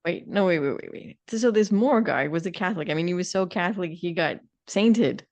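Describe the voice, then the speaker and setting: A woman's voice: fairly low pitch, lively intonation, brisk, darkish tone. Sardonic, opinionated woman chatting casually. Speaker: podcast co-host. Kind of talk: casual conversational discussion.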